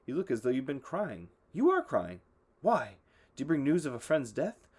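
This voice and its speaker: A man's voice: mid-range voice, huge pitch swings, moderate pace, darkish tone, husky, voice pushed up. A man voicing a proud male hero of legend who speaks in a stern, commanding way.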